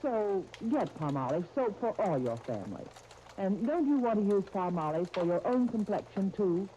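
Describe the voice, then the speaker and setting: A woman's voice: medium pitch, sing-song, moderate pace, dark tone. An American woman reading a scripted commercial as a 1930s radio spokesperson. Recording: noisy, dull 1930s radio disc recording.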